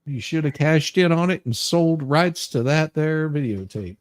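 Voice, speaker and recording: A woman's voice: sits low, lively intonation, unhurried, a little mellow. A woman co-hosting a comedy podcast, talking casually and bluntly, joking as she comments and reads aloud. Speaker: podcast co-host.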